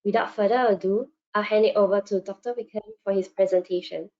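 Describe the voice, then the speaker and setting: A woman's voice: mid-range voice, steady tone, brisk, a little mellow. A woman hosting a webinar, reading formal scripted announcements and introductions. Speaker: webinar host. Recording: dull, band-limited webinar audio.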